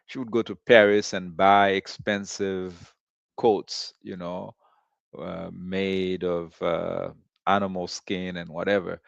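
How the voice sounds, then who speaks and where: Man from Haiti, low voice, very unhurried delivery, dry and slightly dull recording. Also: vocal fry, no echo. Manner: deliberate, with frequent "uh" and "you know" pauses. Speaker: host of a commentary show. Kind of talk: slow reflective commentary monologue.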